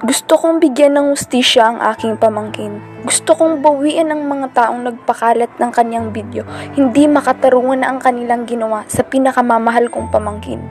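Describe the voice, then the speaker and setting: A woman's voice: a little high, brisk, a little mellow, soft background music, lightly acted. A woman acting as a grieving aunt bent on revenge, earnest and pleading, in an amateur student radio drama. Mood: grieving, determined. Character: grieving aunt seeking revenge for her niece.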